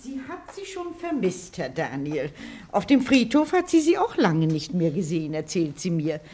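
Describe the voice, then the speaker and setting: An actress in a German radio play as an energetic, sharp-tongued, unmarried middle-aged elder sister, wry, teasing and commanding. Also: female voice, on the low side, normal speed, a little hiss or hum. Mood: dryly welcoming.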